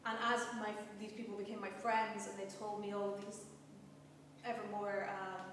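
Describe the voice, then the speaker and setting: A woman's voice: mid-range voice, level tone, moderate pace. This is a Scottish woman speaking on a panel, heard through a very echoey hall. She is picked up from a distance, with faint noise behind her. She talks earnestly and from the heart, often doubling words as she searches for them. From Scotland. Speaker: panelist, cast member of a stage production. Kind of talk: panel discussion.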